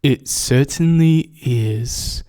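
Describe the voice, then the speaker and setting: A man's voice: medium pitch, warm tone. A man voicing a devoted, reassuring young male pony sweetheart in an earnest way for a fan fiction story. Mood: affectionate. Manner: slow, earnest delivery.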